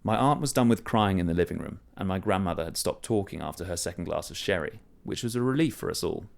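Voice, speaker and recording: A man reading a short story aloud, telling it in a measured, wry first-person voice. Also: deep voice, lively intonation, gravelly. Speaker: story reader. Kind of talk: scripted narration of a short story.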